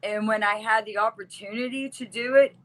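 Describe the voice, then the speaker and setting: A woman's voice: fairly high pitch, fairly even intonation, a little fast, fairly bright tone, poor audio. A woman from West Virginia speaking casually as a guest on an interview show about her work as a wrestling manager. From West Virginia, United States. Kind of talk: casual conversation.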